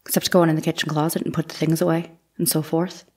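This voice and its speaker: A woman's voice: sits low, level tone, fast, darkish tone. A woman playing a deferential young housemaid giving plain, brief testimony in a courtroom dramatization.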